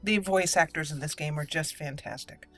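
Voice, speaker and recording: A woman's voice: low-pitched, normal speed, faint music behind. A woman gaming host giving roleplay commentary, slipping between character lines and casual asides.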